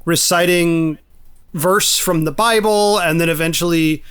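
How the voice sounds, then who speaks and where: Clear, higher-pitched man explaining details. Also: fairly even intonation, a little slow, fairly bright tone. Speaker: podcast co-host. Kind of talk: casual film discussion.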